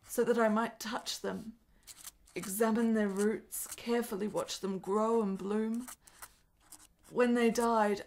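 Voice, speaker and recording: Woman acting a high-voiced, intense, sickly young woman. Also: close to an everyday voice. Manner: formal, literary, emotionally fraught diction. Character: sickly, desperate, intense young 19th-century Italian woman. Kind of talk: period radio drama acting.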